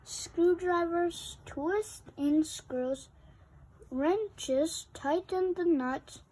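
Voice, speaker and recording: Young female child reader, high-pitched and unhurried, expressive picture-book read-aloud. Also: low-quality recording. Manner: acts out sound effects emphatically. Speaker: storybook reader.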